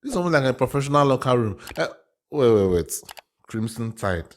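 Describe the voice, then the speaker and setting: A man's voice: medium pitch, expressive, fairly quick. A man from Africa with an African accent giving casual reaction commentary, thinking aloud with hesitant, repeated phrases. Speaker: reaction video host.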